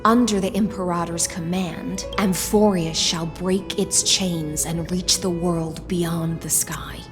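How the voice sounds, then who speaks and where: Stately, lowish-voiced sworn retainer. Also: female voice, fairly even intonation, slow, music in the background. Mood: solemn. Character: smug, stately female sworn servant of a ruler. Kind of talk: video game voice acting.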